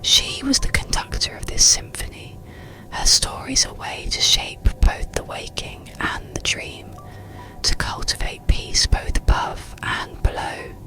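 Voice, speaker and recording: A narrator telling a bedtime story in a slow, soothing, breathy ASMR whisper. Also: music in the background, low-quality recording. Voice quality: breathy, unvoiced whisper with creak.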